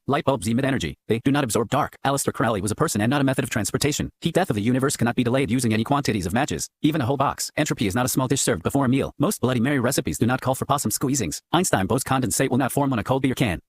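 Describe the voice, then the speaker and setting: A man with a husky, mid-pitched voice racing through a scripted radio disclaimer. He is an announcer, formal and completely deadpan.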